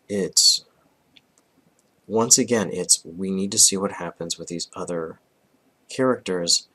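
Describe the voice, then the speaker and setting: A man in his thirties, hoarse from a cold, reviewing a comic casually and rambling with restarts. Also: sits low, pushed and bright. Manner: rambling, frequent restarts and throat clearing.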